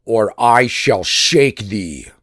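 Man doing a gruff, blustering thunder god, loud and emphatic. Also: fairly low pitch, lively intonation, takes it slowly, no echo, clean studio sound. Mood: indignant. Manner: rough, indignant, blustering. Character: mighty, proud, gruff male thunder god. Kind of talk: dramatic myth storytelling.

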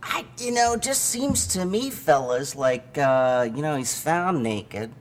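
A man's voice: a little high, lively intonation, slow pace, a little hiss or hum. A man improvising in character during comedy banter, rambling and folksy with many restarts.

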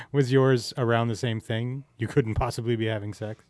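A man's voice: mid-range voice, steady tone, moderate pace, darkish tone, no echo. A man hosting a casual podcast chat, informal and candid, asking questions and swearing freely. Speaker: podcast host.

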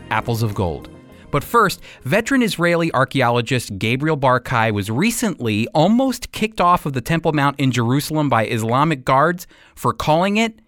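A man with an American accent hosting a radio program, teaching the Bible in an emphatic, deliberate way. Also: a little high, fairly bright tone, dry recording, clean studio sound.

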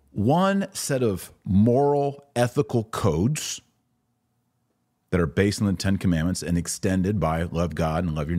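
An American man hosting a religious commentary podcast, speaking emphatically with deliberate pauses for stress. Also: on the low side, darkish tone. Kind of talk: opinionated commentary to camera.

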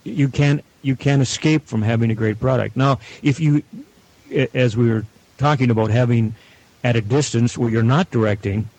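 A man speaking as a guest producer, explaining his work in measured, deliberate phrases. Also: medium pitch, a little mellow, poor audio. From Canada. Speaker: audio producer and director, guest. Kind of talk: explanatory interview talk.

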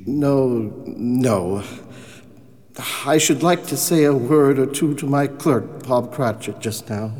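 A man's voice: medium pitch, takes it slowly, darkish tone, lightly acted. An older man acting in a radio drama as an emotional old miser confronted with his past, exclaiming and pleading. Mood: earnest, regretful. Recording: long reverb, faint music behind.

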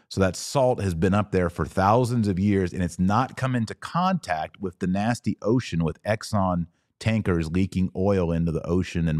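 A man with a deep voice hosts a casual livestream, recorded very dry with hardly any room sound. He talks informally to his viewers and leans on words for emphasis, often repeating a short phrase. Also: a little mellow, no echo. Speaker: podcast host. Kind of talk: casual livestream chat answering viewer comments.

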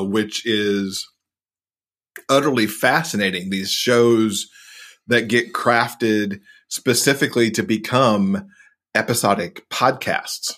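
A man's voice: fairly low pitch, a bit bright. A man talking casually as an interviewed podcast co-host, enthusiastic about the details.

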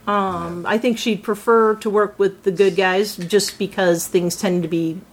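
A woman's voice: medium pitch, steady tone, measured pace, crowd noise. A woman voice actor in a casual round-table chat, animatedly acting out her character's thoughts as she talks.

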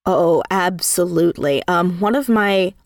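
Woman interview guest, lower-pitched voice, effusive and enthusiastic, casual chat, recorded dry and close. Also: unhurried, no echo. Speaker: interview guest, audio drama creator.

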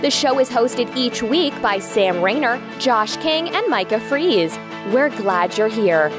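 A woman's voice: on the high side, lively intonation, unhurried. A woman reading a scripted podcast intro in a welcoming manner. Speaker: show intro announcer. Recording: voice over a music bed.

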